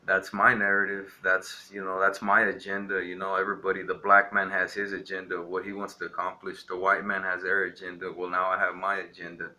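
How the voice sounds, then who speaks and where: A man's voice: low voice, monotone, a little fast, hardly any pauses, pushed and bright. A young man from Texas ranting defiantly to camera, rambling with lots of filler words. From Texas, USA. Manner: rambling, defiant, heavy on "you know" fillers. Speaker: vlogger. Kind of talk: casual ranting monologue to camera.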